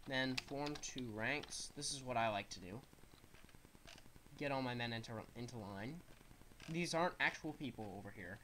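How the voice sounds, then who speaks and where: A congested young man talks through his gameplay in a loose, wandering way, explaining each command as he gives it. His voice sits at an ordinary middle pitch, and a faint hiss of background noise runs under the recording. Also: normal speed, sharp tone, low-quality recording. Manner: rambling, explains controls as he plays. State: stuffed-up nose. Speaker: gaming streamer. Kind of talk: casual gameplay commentary.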